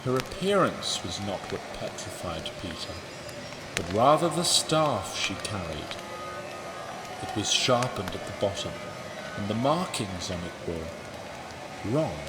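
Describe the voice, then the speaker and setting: A man narrating a dark fantasy tale slowly and dramatically, his intonation rising and falling widely. Clear music plays underneath his voice. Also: fairly low pitch, big pitch swings. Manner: slow, dramatic storytelling.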